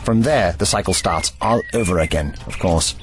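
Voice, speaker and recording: A man's voice: low-pitched, normal speed, heavy background noise, close to an everyday voice. A man playing a grave, erudite ancient time traveller in an audio drama, with formal, slightly pompous phrasing.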